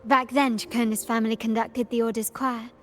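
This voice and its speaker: An actress playing a refined, composed young noblewoman in a video game, speaking in a measured, elegant way. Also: fairly high pitch, flat delivery, soft background music, natural voice. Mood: nostalgic.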